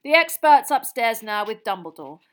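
A woman voicing a boisterous, jolly middle-aged male official who talks loudly and heartily. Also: fairly high pitch, big pitch swings, normal speed, voice pushed up. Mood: jovial. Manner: loud, hearty, exclaiming.

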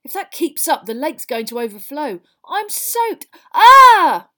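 A woman's voice: high-pitched, big pitch swings, normal speed, darkish tone, pitched above the performer's own voice. A woman voicing a blunt, complaining teenage schoolboy in a storybook. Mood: annoyed.

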